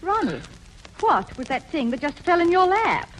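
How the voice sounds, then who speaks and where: A woman's voice: very high-pitched, sing-song, moderate pace. A woman playing a genteel, mildly concerned wife in a 1940s radio comedy, with polite, innocent understatement. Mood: curious. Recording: very noisy vintage radio, audience behind.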